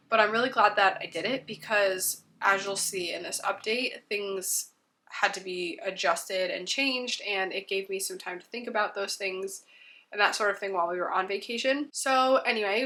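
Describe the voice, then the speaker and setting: A woman's voice: medium pitch, pushed and bright. A woman in her thirties, congested from a cold, chatting casually to camera as a homeschooling mom, rambling with frequent ums.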